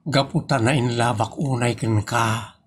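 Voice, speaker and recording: A man's voice: mid-range voice, fairly even intonation, a little slow, natural voice. A man with a northern Philippine accent playing a teasing, evasive husband in a comedy audio drama.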